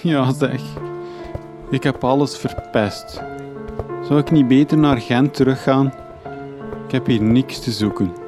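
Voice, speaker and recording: A man's voice: mid-range voice, steady tone, unhurried, pauses often, music in the background, natural voice. A man playing an earnest, bewildered young journalist with a Flemish accent, thinking aloud in a radio comedy drama. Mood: dejected. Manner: naive, puzzled, thinking aloud. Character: earnest, bewildered young journalist back in his home village.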